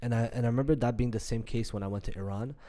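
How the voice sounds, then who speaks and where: A man hosting a casual podcast chat, talking in a relaxed way with many "uh" pauses. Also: medium pitch. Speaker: podcast host.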